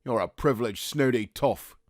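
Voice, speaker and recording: A man's voice: mid-range voice, normal speed, darkish tone, no echo, close to an everyday voice. A man performing a bitter young Cockney private in a wartime radio play. He is blunt and mocking, with a chip on his shoulder. His voice is mid-range and sounds close and dry, with no room on it. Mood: scornful. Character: resentful working-class Cockney private, aged nineteen. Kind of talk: audio drama acting.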